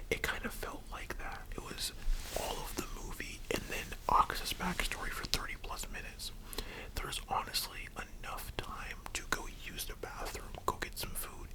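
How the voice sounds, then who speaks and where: An ASMR host whispers almost throughout in a breathy, unvoiced tone. The delivery is casual and rambling, addressed straight to the listener, and the pitch jumps around widely whenever the voice surfaces from the whisper. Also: poor audio. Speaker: ASMR content creator and host. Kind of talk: whispered ASMR roleplay chat about anime.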